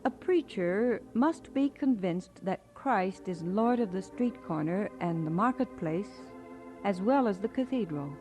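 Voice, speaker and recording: A woman giving measured, scripted narration as the storyteller of a radio drama. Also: medium pitch, expressive, warm tone. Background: music.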